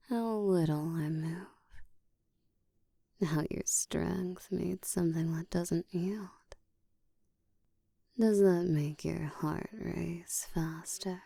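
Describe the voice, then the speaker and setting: Slow whispering seductive vampire woman, acted out. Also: lowish voice, warm tone, no room sound, clean studio sound, full character voice. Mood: teasing, commanding. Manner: breathy whispering, slow, deliberate, controlled and teasing. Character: dominant, seductive female vampire, slow and teasing. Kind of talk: whispered roleplay audio, ASMR.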